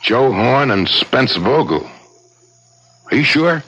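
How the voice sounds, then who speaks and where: Hard-bitten police inspector, sharp and husky. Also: male voice, mid-range voice, lively intonation, takes it slowly, full character voice, rough recording. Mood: skeptical. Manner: blunt, insistent, streetwise. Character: ambitious, hard-bitten veteran police inspector, gruff and demanding. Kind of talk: 1970s radio drama acting. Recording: slightly rough 1970s radio drama recording.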